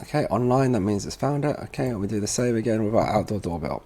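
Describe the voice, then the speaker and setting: A man giving an informal software tutorial, thinking aloud as he works at a computer. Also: on the low side, lively intonation, fast talker, a little hiss or hum. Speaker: tutorial presenter for a security products seller. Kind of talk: casual software walkthrough narration.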